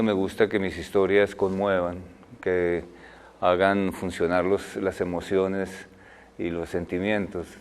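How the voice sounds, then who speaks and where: An older man speaks in a very low voice, weighing his words as an interview guest. He restarts phrases and leans on fillers while he thinks. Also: smooth. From Colombia. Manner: thoughtful, halting, many fillers and restarts. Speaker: guest, film director. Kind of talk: interview answers.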